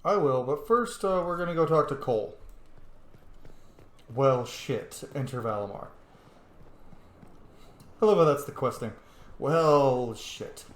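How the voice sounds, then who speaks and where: Male game streamer, casual commentary, mid-range voice with lively swings, roomy recording. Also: medium pitch, takes it slowly, long pauses. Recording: roomy, echoey sound.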